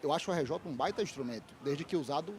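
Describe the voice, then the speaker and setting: A man's voice: a little high, animated, fast. A man, a lawyer giving fluent, articulate interview answers at a fair stand. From Salvador, Bahia, Brazil. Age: 30s. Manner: fluent, articulate, polished speaker. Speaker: lawyer and institute founder, guest.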